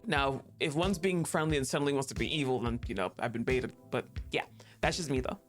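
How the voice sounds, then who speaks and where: A woman's voice: extremely low pitch, a little fast, faint music behind. A woman streaming a game, chatting casually, laughing often and swearing now and then. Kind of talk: casual gameplay commentary.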